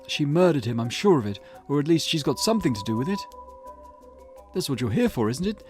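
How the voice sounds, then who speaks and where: A man's voice: a little high, very animated, a little fast, darkish tone, soft background music, pitched above the performer's own voice. A man voicing a frightened, pleading male client with a nervous stammer, reading visual novel dialogue. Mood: fearful, insistent.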